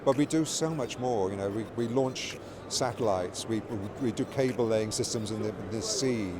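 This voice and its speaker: A man's voice: lowish voice, normal speed. A middle-aged or older man giving an informal interview as a company product executive, explaining things with repeated words and restarted phrases. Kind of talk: informal trade show interview. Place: busy trade show exhibition hall. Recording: loud trade show floor noise. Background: clear ambient show floor noise.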